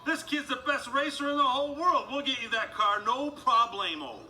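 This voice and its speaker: A man voicing a big, clumsy, excitable video-game strongman in an animated story, loud and blustery. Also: extremely high pitch, normal speed, full character voice, poor audio. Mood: excited. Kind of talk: animated film character acting. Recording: music behind the voice.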